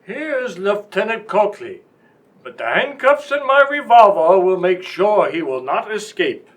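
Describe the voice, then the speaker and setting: A befuddled, blustery inspector acted by a man from a comic radio whodunit. The delivery is animated and emphatic, with pitch that rises and falls freely. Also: unhurried. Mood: official. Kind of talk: old-time comic radio mystery acting.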